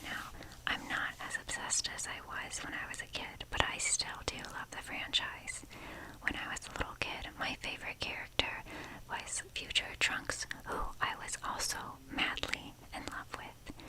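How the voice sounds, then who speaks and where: A young woman speaks in a breathy whisper throughout, as an ASMR host would. Her delivery is casual and rambling, with a self-deprecating streak. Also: normal speed, rough recording.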